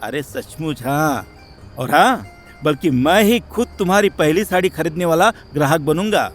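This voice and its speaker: Cartoon husband, male actor: affectionate and supportive, very animated intonation, reassuring delivery. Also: on the high side, normal speed, faint music behind, natural voice. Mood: encouraging, teasing. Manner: reassuring, expressive. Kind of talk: cartoon moral story voice acting.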